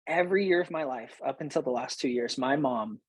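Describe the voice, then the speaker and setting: Husky man hosting a coaching show. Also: medium pitch, fairly quick, no room sound. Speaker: host, business coach. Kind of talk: personal storytelling to listeners.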